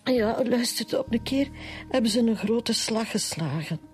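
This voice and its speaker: An actress playing a grieving, embittered burglar's widow with a Flemish accent and a hesitant, sighing delivery in a radio drama. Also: female voice, on the high side, animated, a little slow, a little mellow, faint music behind. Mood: weary, resentful.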